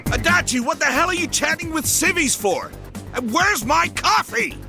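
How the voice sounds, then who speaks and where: A man voicing a gruff, stern, impatient senior police detective in a video game dub, gravelly, curt and authoritative. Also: extremely high pitch, animated, moderate pace, exaggerated voice. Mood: irritated. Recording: music playing behind the voice.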